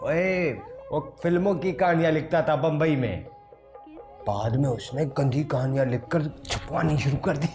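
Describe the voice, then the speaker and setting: A man's voice: a little high, moderate pace, darkish tone, soft background music, natural voice. An actor playing a gossipy local man in a television period drama, theatrical and teasing, in a roomy echo. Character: gossipy local man telling scandalous news.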